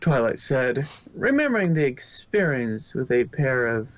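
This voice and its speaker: A man's voice: medium pitch, sing-song, a little slow, highs cut off. A man narrating a fan fiction story in an amateur reading.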